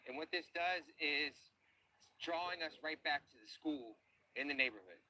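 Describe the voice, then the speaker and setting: A man working as an underwater search diver, narrating the search step by step outdoors by ponds and calmly reporting depths and findings. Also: fairly high pitch, moderate pace, low-quality recording.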